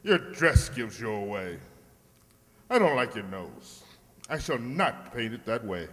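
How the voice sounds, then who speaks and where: Man acting a gruff, slow-speaking macho portrait painter. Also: medium pitch, sing-song, fairly bright tone. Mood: imperious. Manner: curt, imperious, blunt. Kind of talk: old radio comedy acting. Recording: long hall reverb.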